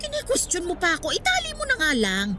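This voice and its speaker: A bossy, lively old vendor wife. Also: female voice, very high-pitched, fast, faint music behind, exaggerated voice. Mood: bossy, nagging. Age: older. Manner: nagging, scolding, familiar. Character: bossy elderly woman street vendor, wife, motherly. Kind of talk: radio drama acting.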